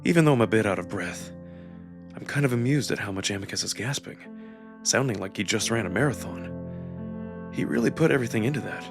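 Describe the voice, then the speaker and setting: A man performing dramatic first-person narration from a scripted story, with expressive, emotional emphasis. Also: on the low side, a little fast. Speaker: narrator and voice performer of a visual novel. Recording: music behind the voice. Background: music.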